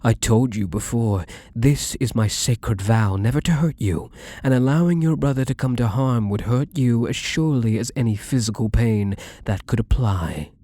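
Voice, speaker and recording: A man's voice: mid-range voice, dark tone, slightly rough, no echo. A man playing a wealthy, obsessively devoted and controlling gambler in an intimate ASMR roleplay, with doting, menacingly tender delivery and formal wording.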